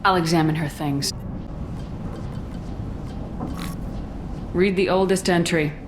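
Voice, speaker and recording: An actress playing a tough, terse military commander in a video game, matter-of-fact and dry. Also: female voice, on the low side, fairly even intonation, a little fast, background noise, natural voice.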